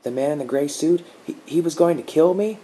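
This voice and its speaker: A man voicing a panicked young man who yells in frantic bursts. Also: on the high side, brisk, a little hiss or hum, pitched above the performer's own voice, close to an everyday voice. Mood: scared. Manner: frantic, yelling.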